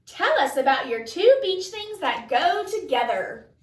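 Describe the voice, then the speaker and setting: Woman voicing an eager, high-pitched storybook schoolteacher. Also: very animated, measured pace, heavily acted. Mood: bright, eager. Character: bright, eager woman schoolteacher, adventurous and cheerful. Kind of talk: storybook read-aloud character voice. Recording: echoey room, distant mic.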